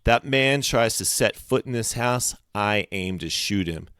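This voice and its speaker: A man's voice: on the low side, expressive, a little slow, clear, resonant tone, dry recording, voice pushed up. A stern old storybook grandfather, read by a man, close and dry. He is stubborn and resolute, speaking firmly like a former soldier who has made up his mind. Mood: grim, resolute. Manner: firm, quieter, resolute.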